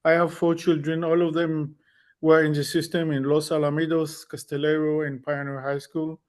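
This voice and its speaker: A man in his fifties with an Israeli accent, speaking as a school board candidate at a forum with a halting, wry, self-correcting delivery. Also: fairly high pitch, little pitch movement, a little mellow. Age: 50s.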